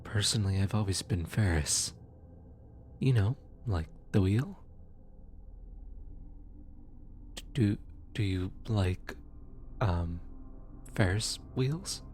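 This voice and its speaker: A man playing a gentle, awkward demon soul collector in an ASMR audio roleplay, speaking softly, tenderly and a little haltingly to comfort the listener. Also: lowish voice, lively intonation, soft background music. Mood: awkward, friendly. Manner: hesitant, halting, tender, casual.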